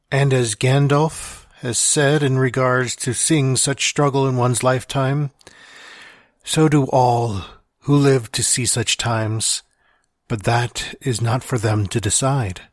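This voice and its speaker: Wry, morbid dying man voiced by a male actor, slow and reflective, reading his last letter for an audio drama, very dry recording. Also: medium pitch, steady tone, no echo, natural voice. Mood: reflective, earnest. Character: morbid dying man with gallows humor, writing farewell letter.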